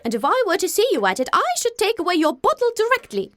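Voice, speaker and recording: A woman voicing a fussy, excitable middle-aged mother in an audiobook, gossipy and indignant, with emphatic exclamations. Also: extremely high pitch, fast talker, no echo, pitched above the performer's own voice, full character voice. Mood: scolding. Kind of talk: audiobook character voice.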